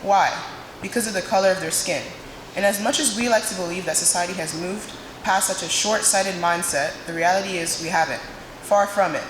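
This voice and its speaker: A young woman from Nigeria, giving a prepared student speech in a dramatic, emphatic way with pauses. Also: on the low side. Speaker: student speaker. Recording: echoey hall, noisy room sound.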